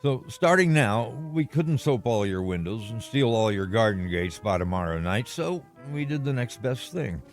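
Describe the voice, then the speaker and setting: A man giving a scripted, old-time radio sign-off, addressing listeners in measured, theatrical phrases. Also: on the low side, lively intonation. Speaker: lead actor giving sign-off out of character. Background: faint music.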